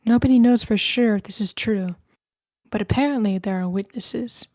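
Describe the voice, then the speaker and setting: A woman's voice: mid-range voice, normal speed, dark tone, no echo, natural voice. A woman playing a tour guide in her late twenties who tells eerie local legends at a screenplay table read. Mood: eerie storytelling.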